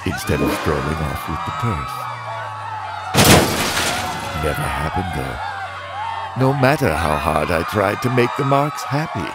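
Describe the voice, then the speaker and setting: Man playing a deep, brooding, death-wishing derby driver. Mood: scornful. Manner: slow, brooding, theatrical, swinging in intensity. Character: bitter, haunted male demolition derby driver with a death wish. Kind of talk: motion comic narration, dramatic monologue. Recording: music bed behind the voice.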